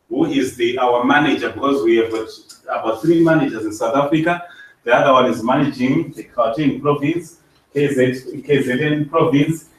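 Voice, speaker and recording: A man from Zimbabwe giving a business presentation to a live audience, halting with fillers and restarts. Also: mid-range voice. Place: meeting room. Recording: echoey hall, mic far off.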